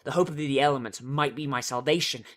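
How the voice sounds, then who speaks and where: A theatrical, expressive reader narrating a fan fiction story in a dramatic audiobook style. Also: mid-range voice, fast.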